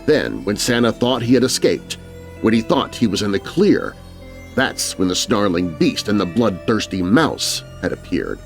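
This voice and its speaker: A male narrator tells a spooky children's tale in rhyme. His delivery is theatrical and very animated, with music underneath. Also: on the low side, normal speed, gravelly. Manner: theatrical, rhyming storyteller delivery. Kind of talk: dramatic children's horror story narration.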